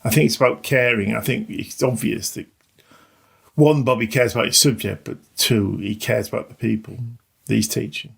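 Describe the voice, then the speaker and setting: A man's voice: medium pitch, fairly even intonation, moderate pace, vocal fry, breathy edge. A middle-aged or older man, a teacher, giving casual, reflective interview answers in a hesitant, self-effacing way. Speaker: maths teacher.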